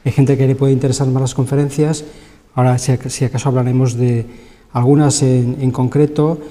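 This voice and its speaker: Hesitant man, mellow voice. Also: mid-range voice, little pitch movement. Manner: halting, filled with "eh" and "pues". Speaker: science week organizer guest. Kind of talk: explanatory interview answers. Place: local TV studio. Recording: reverberant studio sound.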